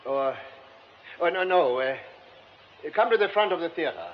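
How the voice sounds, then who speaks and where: An actor playing a courteous, insistent wealthy gentleman with a halting, formal manner in a radio drama. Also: a little high, animated, lightly acted. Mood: concerned, halting. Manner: halting, formal, repeating himself. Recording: dull radio recording, faint noise.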